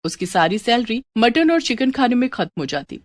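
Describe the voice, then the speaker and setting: A woman's voice: fairly high pitch, big pitch swings, normal speed, dry recording. A woman reading a scripted story as its narrator.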